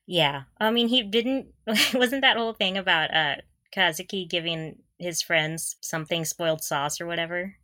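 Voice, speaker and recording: A woman's voice: fairly low pitch, moderate pace, few pauses, pushed and bright, no room sound, studio quality. A woman co-hosting a casual fan podcast, bantering in a mock-serious, giggly way. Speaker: podcast co-host.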